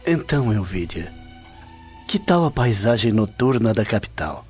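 An actor with a Brazilian accent playing a suave, courteous Roman gentleman flirting in a radio drama, smooth and teasing. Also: on the low side, very animated, a little slow, dull audio, soft background music. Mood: cheerful, courteous.

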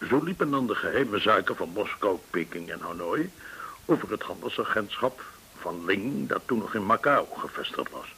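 An actor playing a courteous, shrewd, well-connected informant in a Dutch radio drama, speaking in a formal, deliberate way. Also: male voice, lowish voice, big pitch swings, creaky, bright, forceful tone, exaggerated voice, rough recording. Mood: measured explaining. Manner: formal, measured, deliberate explaining. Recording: hissy old radio recording.

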